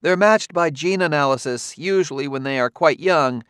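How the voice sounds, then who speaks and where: A man reading an audiobook, voicing a brisk, matter-of-fact woman who runs a children's farm, easily exasperated. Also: a little high, a bit bright, smooth, no room sound, voice pushed up, lightly acted. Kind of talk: audiobook character voice.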